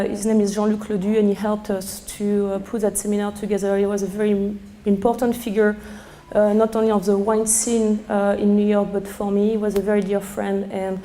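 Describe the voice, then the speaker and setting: A middle-aged woman with a strong French accent, a sommelier speaking live to a seminar room, hoarse from losing her voice and chatty with many fillers. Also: medium pitch, mellow, slight background noise. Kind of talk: live talk to a seminar audience.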